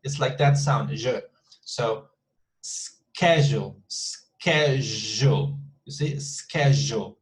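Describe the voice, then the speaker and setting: A man with a Colombian accent teaches an English class over a video call, speaking into his computer mic. His voice sits at a middle pitch, and he restarts his sentences often while calling his students "guys". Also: slow. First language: Spanish. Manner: repeats words often, talks to the class as "guys". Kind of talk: online class lecture.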